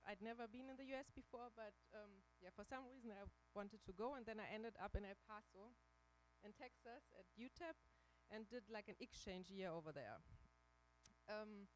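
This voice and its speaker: German-accented woman, halting career talk. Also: medium pitch, slight background noise, low-quality recording. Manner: halting, many fillers and restarts. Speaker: guest speaker. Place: church hall.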